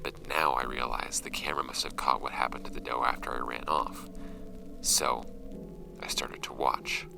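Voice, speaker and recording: A man as a very deep, haunted investigator. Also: flat delivery, whispered, music in the background, heavily acted. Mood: weary, uneasy. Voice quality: very low, gravelly, often breathy at phrase ends. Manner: slow-burning, grave, deliberate. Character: shaken male paranormal investigator reading his grim field log. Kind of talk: scripted horror audio drama monologue.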